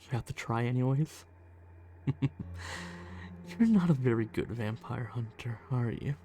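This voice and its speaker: Man playing a slow-talking, smug teasing vampire. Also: mid-range voice, warm tone. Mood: amused, mocking. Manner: condescending, slow, teasing, menacing. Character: smug, teasing male vampire stalker toying with his hunter. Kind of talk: ASMR roleplay, acted monologue.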